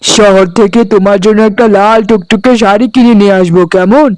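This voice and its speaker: A man's voice: high voice, fairly even intonation, brisk, no echo, lightly acted, poor audio. A man voicing a young newlywed husband and elder brother in a cartoon, placating in manner. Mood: soothing. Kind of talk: Bengali cartoon dialogue acting.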